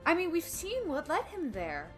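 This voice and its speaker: A woman's voice: very high voice, wildly swooping pitch, moderate pace. A woman delivering an opinionated film video essay, emphatic and dramatic, with casual slang slipping in. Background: background music.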